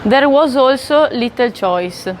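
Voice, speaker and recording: A woman's voice: sits high, lively intonation, slow pace, slight background noise. A woman with an Italian accent narrating a story in a lightly conversational way.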